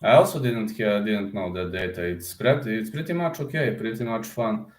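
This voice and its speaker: A man's voice: fairly low pitch, moderate pace, slight background noise. A man chatting casually on a live stream, rambling and often repeating words as he restarts sentences. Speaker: MMA fighter and betting-picks streamer. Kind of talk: casual live-stream chat reading comments. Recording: echoey room.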